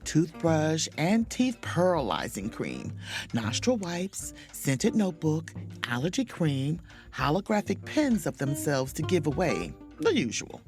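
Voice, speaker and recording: A woman's voice: sits low, darkish tone. A woman acting a proud, self-dramatizing chief mate who narrates an audio drama as a wry diary. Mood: wry, confiding. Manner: theatrical, wry, emphatic.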